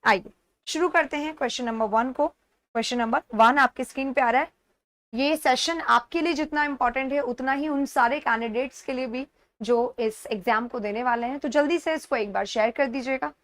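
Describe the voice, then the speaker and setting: A woman's voice: high voice, normal speed, a bit bright. A woman with an Indian accent teaching an exam-prep lesson step by step, repeating key words and checking that students follow. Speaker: English teacher.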